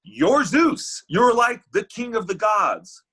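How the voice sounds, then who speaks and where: A bright, fairly high male voice from an actor with very lively pitch. He is a needy, cocky matchmaker in a sitcom, sardonic and easily exasperated. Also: slow pace, heavily acted. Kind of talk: sitcom table read acting.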